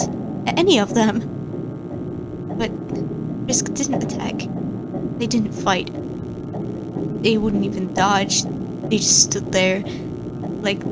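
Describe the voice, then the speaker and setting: A woman voicing a nervous female monster scientist who records fearful logs, speaking in a halting, stammering way. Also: on the high side, heavy background noise. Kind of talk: audio log horror narration. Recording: loud music theme underneath.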